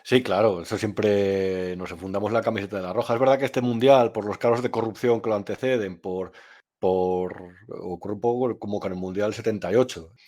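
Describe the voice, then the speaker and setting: A man from Spain, answering interview questions in a frank, opinionated way. His voice sits on the low side, and he often pauses and starts his sentences over as he thinks. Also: steady tone, warm tone. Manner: frank and opinionated, with frequent hesitations and restarts. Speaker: journalist and novelist guest.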